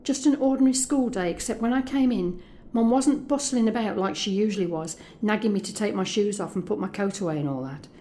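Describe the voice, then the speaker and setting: A woman's voice: medium pitch, a little fast, few pauses, warm tone, slight background noise, lightly acted. A woman playing an anxious but determined young escort in an intimate, conversational audio drama monologue. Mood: shaken, sombre. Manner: confiding, conversational.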